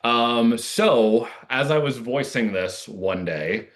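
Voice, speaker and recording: A man's voice: mid-range voice, animated, takes it slowly. A man talking casually and reflectively as an interviewed playwright, earnest and discursive, with many asides.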